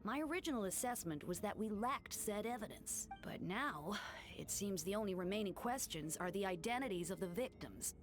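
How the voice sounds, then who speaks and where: An actress playing a composed female official in a video game, speaking in a measured, formal way. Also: mid-range voice, animated, over music, natural voice. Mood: solemn, judicial.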